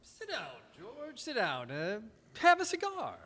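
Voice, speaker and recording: An actor playing a scheming, condescending old rich businessman in a radio play, gravelly and pompous, hemming as he goes. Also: very high-pitched, huge pitch swings, a little slow, sharp tone, heavily acted. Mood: smug, condescending. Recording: echoey hall, stage reverb.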